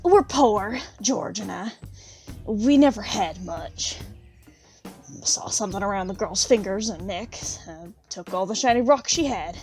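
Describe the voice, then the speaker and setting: A woman's voice: mid-range voice, animated, a little slow, darkish tone, faint music behind. A woman playing a poor, plain-spoken country widow, bitter and unsentimental, in an audio drama. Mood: matter-of-fact.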